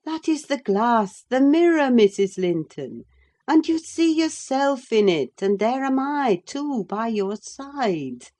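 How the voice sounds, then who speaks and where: A woman reading an audiobook, voicing a sensible older woman servant who speaks in a firm, calming way. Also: on the high side, takes it slowly, pitched above the performer's own voice. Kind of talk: audiobook character voice.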